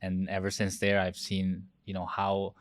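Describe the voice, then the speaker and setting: Host: adult man, steady low voice, little melody, admiring, stumbles on starts. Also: a little slow, dry recording. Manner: warm, admiring, repeats words when starting. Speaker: podcast host. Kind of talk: interview hosting.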